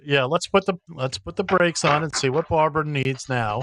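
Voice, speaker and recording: A man's voice: fairly high pitch, moderate pace, fairly bright tone. A man co-hosting a casual radio show, trading jokes and teasing his co-host. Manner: teasing, joking.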